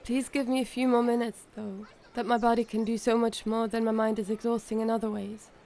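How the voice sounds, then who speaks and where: A guarded, sluggish young character from an audio drama, played by a woman. The voice sits a little high and speaks in an earnest, halting way. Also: flat delivery, warm tone, slight background noise, close to an everyday voice. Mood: weary, earnest.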